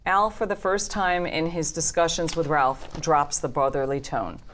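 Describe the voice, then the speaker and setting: A woman's voice: sits low, fairly even intonation, moderate pace, a bit bright, slight background noise. A woman narrator reading screenplay stage directions as scripted narration at a table reading.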